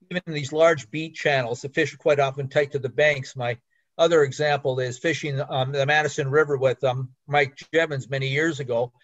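Older male lecturer, middle pitch, rambling, muffled dry recording. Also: no echo. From Canada. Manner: rambling, restarts and fillers, anecdotal. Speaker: fisheries biologist giving a talk. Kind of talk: slide presentation lecture. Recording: dull, slightly muffled recording.

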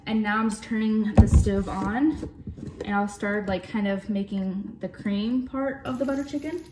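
A woman's voice: medium pitch, steady tone, moderate pace, very noisy. Chatty young woman vlogging. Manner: chatty, filler-heavy, talks to the viewer. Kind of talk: casual vlog narration.

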